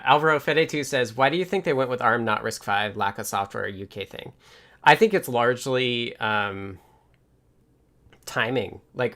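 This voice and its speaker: A man speaks in an informal, conversational way at an average pitch. He hosts like a developer on a livestream, working through technical answers out loud as he goes. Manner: informal, thinks aloud while answering viewer questions. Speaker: livestream host and software developer. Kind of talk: casual technical chat.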